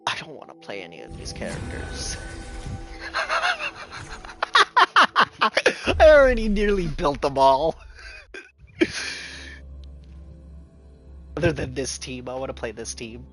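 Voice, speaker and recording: A man's voice: medium pitch, very animated, a little slow, pauses often. A man giving casual gameplay commentary as a streamer, half talking to himself with exclamations. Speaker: gaming streamer. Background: game music.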